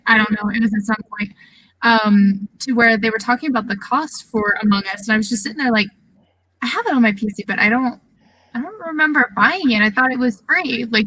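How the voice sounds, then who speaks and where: A woman's voice: on the high side, brisk, bright, forceful tone, low-quality recording. A woman talking casually in a group chat about video games.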